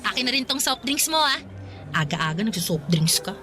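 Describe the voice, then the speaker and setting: Sassy, high, bright young Filipina girlfriend. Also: very animated, moderate pace. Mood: sassy, teasing. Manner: sassy, complaining, dramatic. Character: feisty, blunt, money-minded young working-student girlfriend, weary of poverty. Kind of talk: Filipino radio drama dialogue. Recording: music behind the voice.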